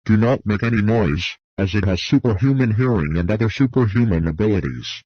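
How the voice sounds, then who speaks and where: A man reads a scripted emergency broadcast announcement in a formal, measured manner, as an alert announcer. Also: on the low side, animated, husky, dry recording, highs cut off.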